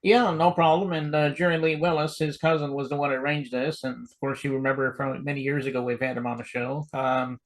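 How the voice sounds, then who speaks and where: A man's voice: mid-range voice, flat delivery, brisk, hardly any pauses, poor audio. A man hosting an interview show, friendly and chatty, talking loosely with fillers. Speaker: show host.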